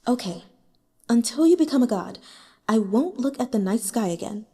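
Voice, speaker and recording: A woman reading the lines of a sorrowful young woman cultivator in a storybook, with a soft, wistful delivery. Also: fairly high pitch, animated, normal speed, breathy edge, in a large hall, pitched above the performer's own voice. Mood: gentle.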